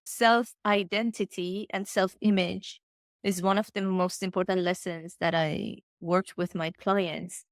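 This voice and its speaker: A woman's voice: on the low side, steady tone, unhurried, dry recording, studio quality. A woman from Iran, speaking English with slightly phrasing, talking earnestly and enthusiastically as a podcast guest and coach. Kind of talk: casual podcast interview.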